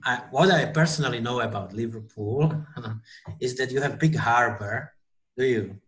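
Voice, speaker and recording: A man's voice: medium pitch, fairly bright tone. Man with a Slovak accent hosting. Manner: slow, halting English with small slips. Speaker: webinar host. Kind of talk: webinar interview. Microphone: video call.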